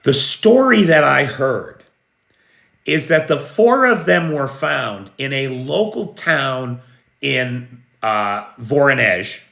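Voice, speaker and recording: Slow, echoey American man hosting news. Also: medium pitch, expressive, creaky, bright, forceful tone. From United States. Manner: unhurried, conversational, answers viewers' comments. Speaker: news livestream host. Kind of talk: live news stream, reading chat. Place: room in Kyiv during air alert.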